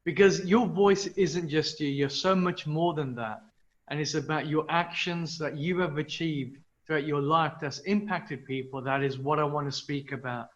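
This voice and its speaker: A man's voice: a little high, normal speed. Guy hosting an interview, earnest, kinda dull audio. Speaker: host.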